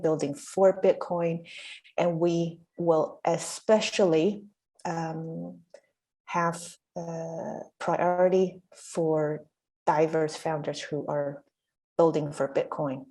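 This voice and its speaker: A woman's voice: sits low, dark tone. A woman born in Taiwan introducing herself on a video call, hesitant with many fillers and restarts. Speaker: country chair and panelist. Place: bright room indoors. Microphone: laptop webcam on a video call.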